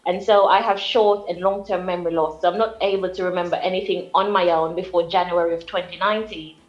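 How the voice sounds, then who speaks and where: A woman's voice: on the low side, steady tone, fast, rough recording. A young adult woman from Jamaica with a British-sounding accent, explaining things at length as a guest in a live TV interview.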